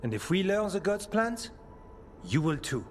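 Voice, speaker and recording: Fantasy game scholar-warden: male, earnest and hesitant, very lively pitch, music behind. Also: unhurried. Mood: earnest, uneasy. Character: earnest, uneasy male warden scholar, sensitive to dark forces. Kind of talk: dramatic fantasy game acting.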